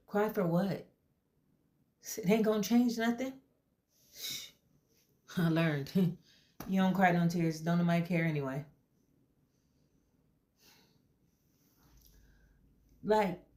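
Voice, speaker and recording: A woman voicing a brash, defensive young mother in therapy who talks loud and fast and pushes back on every question. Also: on the low side, natural voice. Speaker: therapy client. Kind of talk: comedy sketch acting.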